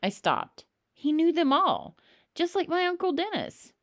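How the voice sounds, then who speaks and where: A woman reading a children's story aloud in an expressive narrating style, with emphatic, dramatic phrasing. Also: very high voice, measured pace, no echo, clean studio sound. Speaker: storybook reader.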